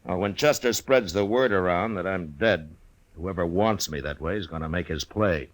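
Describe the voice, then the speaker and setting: An American actor playing a steady, laconic lawman with dry humor in an old-time radio western. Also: low-pitched, moderate pace, natural voice. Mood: calm, resolute. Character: steady, dryly humorous lawman in his prime, Old West marshal.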